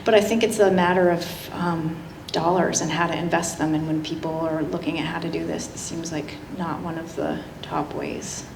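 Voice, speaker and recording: A woman's voice: low-pitched, level tone, normal speed, gravelly, hardly any pauses. Earnest woman speaker in a roomy hall. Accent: Vermont. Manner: earnest, thoughtful, with frequent fillers. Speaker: guest speaker and writer. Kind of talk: talk with audience discussion. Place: community hall. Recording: roomy hall with faint noise.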